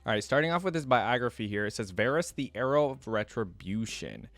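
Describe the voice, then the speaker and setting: A man hosting a reaction video, reading aloud and pausing to comment and recap casually. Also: medium pitch, animated, fairly bright tone, no room sound. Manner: pauses to react and recap in plain words.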